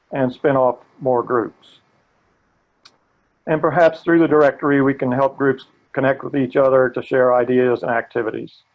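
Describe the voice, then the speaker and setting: Gravelly-voiced man presenting a webinar. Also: medium pitch, dull audio. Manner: earnest, steady, occasional restarts. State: gravelly voice today. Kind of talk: presenting a live training webinar.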